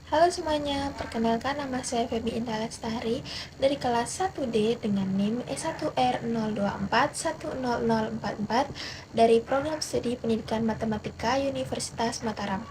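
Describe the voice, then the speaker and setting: A woman's voice: a little high, normal speed. A young adult woman giving a prepared student presentation in a recited storytelling manner, with hesitations. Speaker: university student presenting an assignment. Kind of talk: prepared educational narration. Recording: noisy amateur recording.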